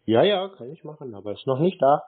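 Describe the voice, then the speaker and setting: A man's voice: medium pitch, lively intonation, fast. A man chatting casually on the phone as a prankster posing as a customer, laconic and playing naive. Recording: band-limited phone call audio.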